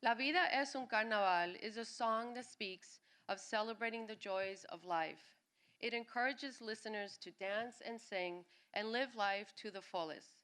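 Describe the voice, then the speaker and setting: A woman born in Cuba and raised in New York City, reading her own personal essay aloud at a live event with an expressive delivery. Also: a little high, measured pace, smooth. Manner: expressive reading, briefly acting out quoted family members. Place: live reading at a music venue.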